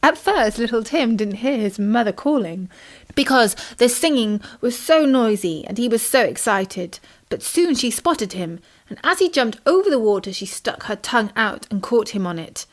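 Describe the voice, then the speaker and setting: A woman's voice: fairly high pitch, lively intonation, moderate pace. A woman with a British accent narrating a children's bedtime story expressively as a storyteller.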